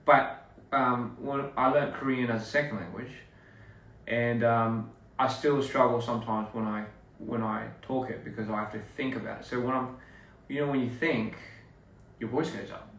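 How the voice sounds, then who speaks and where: A man's voice: medium pitch, normal speed. A young man from Australia, chatting casually and rambling with fans on a live stream. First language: English. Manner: relaxed, rambling, laughing between lines. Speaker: livestreaming artist. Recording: echoey room, distant phone mic.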